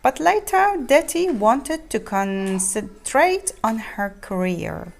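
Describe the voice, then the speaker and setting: A woman reads a children's story aloud, haltingly, repeating and explaining words as she goes. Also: a little high, big pitch swings, gravelly. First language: not English.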